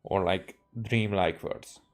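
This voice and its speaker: Slow, low-voiced Hungarian man, musing haltingly. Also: fairly even intonation, soft background music. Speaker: game streamer. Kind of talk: casual rambling live stream commentary.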